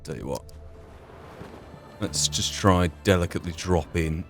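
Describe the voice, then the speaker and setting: Male gaming host, low voice, casual understated play-by-play, musing aloud over soft game music. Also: unhurried. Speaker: gamer, playthrough host. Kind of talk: casual gameplay commentary.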